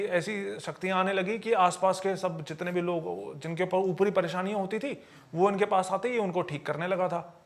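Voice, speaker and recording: A man with a high voice and a noticeable creak in it tells stories on a podcast. He talks conversationally, explains as he goes and keeps checking that the listener follows.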